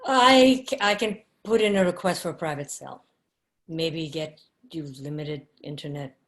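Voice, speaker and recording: A woman's voice: low-pitched, unhurried. A woman voicing a stern, protective female federal agent in charge in a drama read, firm and emphatic. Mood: curt, guarded. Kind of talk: scripted drama table read.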